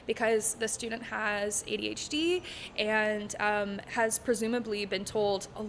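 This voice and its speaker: A woman's voice: medium pitch, a little slow, slight background noise. A woman in her twenties from Texas, a music teacher being interviewed, talking casually with frequent ums and light laughs. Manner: chatty, laughs often, many ums. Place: bright living room set. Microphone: stand mic in front.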